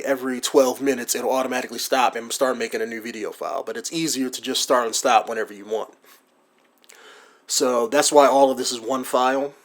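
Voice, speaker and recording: A man talking through his video-editing setup in a casual, rambling way, with lots of ums, likes and you-knows. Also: medium pitch. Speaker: gaming YouTuber explaining his editing setup. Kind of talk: casual screen-recorded tutorial. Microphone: USB desktop mic.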